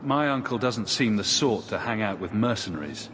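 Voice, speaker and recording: An actor playing a measured, direct young refugee mage, the hero of a fantasy video game. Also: male voice, lowish voice, lively intonation, slight background noise. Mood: guarded, direct. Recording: loud music behind. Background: game music.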